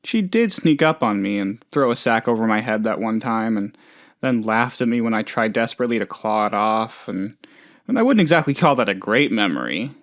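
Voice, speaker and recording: A man's voice: fairly low pitch, very animated, a little fast, fairly bright tone, dry recording, clean studio sound. A man playing a hapless, trusting, lonely oddball in a video game, talking in a rambling, hesitant, good-natured way. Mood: hesitant, wounded. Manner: rambling, hesitant, naive, chatty. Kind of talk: video game character dialogue.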